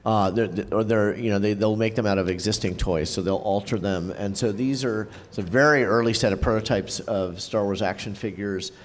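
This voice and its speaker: Low-voiced man co-presenting in a hall. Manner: casual, halting, many fillers. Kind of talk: convention panel presentation. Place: convention panel room. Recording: reverberant hall.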